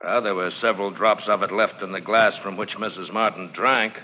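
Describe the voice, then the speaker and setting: A matter-of-fact policeman, played by an actor, testifies in 1940s radio drama style. His fairly low male voice rings with long reverb on a dull old recording. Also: fairly even intonation, fairly quick, slight background noise, close to an everyday voice. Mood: factual.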